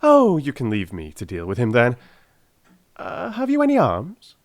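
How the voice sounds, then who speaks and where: A man's voice: mid-range voice, wildly swooping pitch, normal speed, a little hiss or hum. A man voicing a brilliant, confident detective in a dramatised audiobook, with theatrical, emphatic delivery. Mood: brisk, commanding. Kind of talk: dramatised audiobook character acting.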